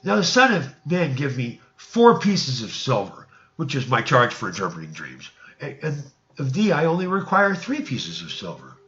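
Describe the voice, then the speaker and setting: A man's voice: medium pitch, wildly swooping pitch, normal speed, a bit bright, muffled recording. A man voicing a grasping, brazen innkeeper and dream interpreter in a storybook, declaiming insistently in archaic speech. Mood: smugly insistent.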